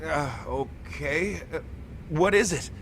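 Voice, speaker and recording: A man's voice: on the high side, slow, background noise. An actor playing a mild, hesitant male shop worker who chats politely in a game cutscene dub. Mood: startled, hesitant.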